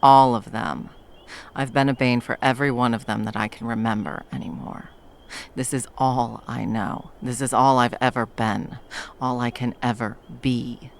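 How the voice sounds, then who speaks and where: A woman's voice: very deep voice, fairly even intonation, normal speed, slight background noise. A woman voicing a centuries-old female monster hunter in an ASMR roleplay. She talks bluntly and swears a lot, then drops into tender murmurs. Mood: soft, tender.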